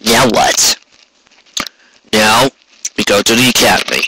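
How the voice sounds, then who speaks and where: A man's voice: fairly low pitch, a little slow, noisy background, natural voice. A man voicing a weary, wounded male pony guard captain, proud and dutiful, speaking in a tired, firm and terse way.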